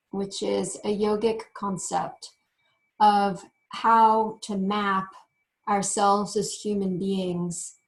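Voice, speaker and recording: Woman, meditation teacher, mid-pitched, very unhurried, gentle guiding phrases, frequent pauses. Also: low-quality recording. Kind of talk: guided meditation.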